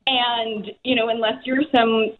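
A woman speaking as a personal finance expert guest on a talk show, quick and chatty, full of "like" and "you know" fillers. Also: on the high side, flat delivery, phone-quality sound. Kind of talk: casual talk show chat.

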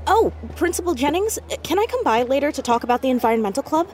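A woman's voice: sits high, big pitch swings, fast. A woman playing an earnest, nosy fourteen-year-old girl in an audio drama, youthful and argumentative in a naturalistic way. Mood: brisk, eager. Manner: emphatic, argumentative.

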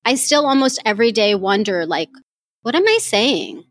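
Woman host, life coach, fairly high voice, candid and animated, dry close sound. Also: moderate pace, no echo. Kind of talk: live audio chat answering questions.

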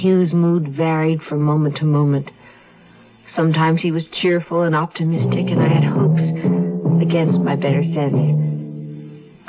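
An actress playing a devoted, world-weary wife on the run, earnest and melancholy, in a 1970s radio drama. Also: female voice, deep voice, highs cut off. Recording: thin-band 1970s radio recording with music.

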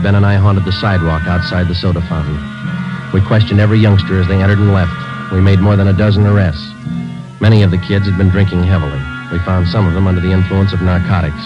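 A stoic American police sergeant, played by a man in a vintage radio drama. His voice is very low, and he delivers everything in terse, matter-of-fact statements. The old recording is dull, with music underneath. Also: lightly acted. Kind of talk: 1940s radio acting, clipped case narration.

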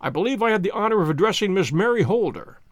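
A man voicing a courteous, composed gentleman detective who questions formally and keenly in an audiobook. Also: high voice, sing-song, fast, no echo, clean studio sound. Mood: courteous, probing.

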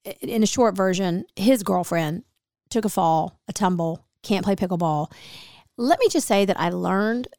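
A middle-aged woman chatting on her podcast, telling stories and playing out both sides of the conversation. Her voice is a little gravelly and hoarse, with a medium pitch. The sound is close and dry. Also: moderate pace, darkish tone, dry recording. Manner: chatty storyteller, acts out quoted dialogue. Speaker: podcast host. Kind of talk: casual chat.

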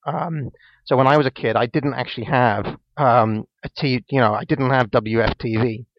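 A man's voice: medium pitch, normal speed, dry recording. A Welsh man in his late twenties co-hosting a casual podcast chat, hesitant and full of ums and restarts. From Wales. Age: 20s. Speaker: podcast co-host. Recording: dull lo-fi dry sound.

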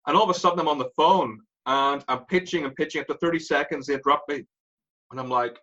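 A man in his forties with an Irish accent, a guest telling his story in an animated way and laughing through it. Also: a little high, fast talker, dull audio. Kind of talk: candid interview storytelling.

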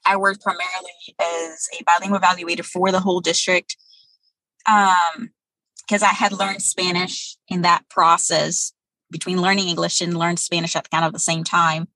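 Bright, husky woman. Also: fairly low pitch, level tone, no room sound, low-quality recording. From Brazil. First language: Portuguese. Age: 30s. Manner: thoughtful, many um pauses. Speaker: guest. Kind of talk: interview answers.

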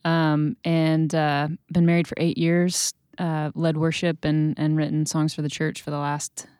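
A woman's voice: deep voice, flat delivery, measured pace, darkish tone, smooth, no echo. A woman in her thirties, a worship leader and songwriter, talking earnestly in a studio panel interview. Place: studio with mics.

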